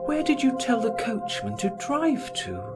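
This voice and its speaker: Man acting genteel tormented woman, high pitch, imploring, highly animated, storybook drama, loud music underneath. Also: measured pace, heavily acted. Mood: anxious. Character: anxious, tormented married society woman, pleading, genteel.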